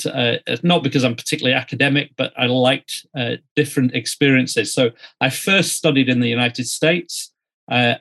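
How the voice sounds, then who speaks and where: A middle-aged man from Yorkshire, speaking as an interview guest in a conversational storytelling way with measured, hesitant phrasing. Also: mid-range voice, a bit bright. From Yorkshire, northern England. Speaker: interview guest, student ministry director.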